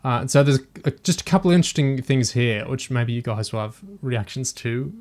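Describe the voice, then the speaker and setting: A man's voice: mid-range voice, lively intonation, fairly quick, a little mellow. A man talking casually as a panellist on a discussion podcast.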